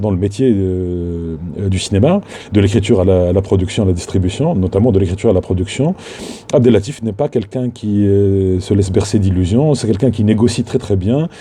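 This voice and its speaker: A Tunisian man in his fifties, a filmmaker recording a spoken tribute on his phone, halting and full of fillers and restarts. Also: on the low side, warm tone. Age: 50s. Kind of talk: spoken tribute to a late mentor.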